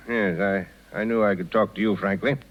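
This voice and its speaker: An actor playing a stuffy, coldly polite, disapproving town gentleman with formal, measured speech in a 1930s radio drama. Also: fairly low pitch, fairly even intonation, close to an everyday voice. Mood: stern, pompous. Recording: muffled 1930s radio broadcast.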